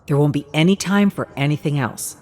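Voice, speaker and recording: Woman voicing an earnest, persuasive young team captain, low-pitched. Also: brisk, a little mellow, close to an everyday voice.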